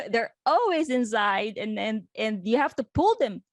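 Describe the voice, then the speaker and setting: A woman's voice: on the high side, expressive, a little slow, gravelly, no echo, clean studio sound. A woman from the Philippines, co-hosting a podcast in a chatty, easygoing way with many fillers. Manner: chatty and reminiscing, with frequent fillers and light laughs. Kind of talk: casual conversational interview.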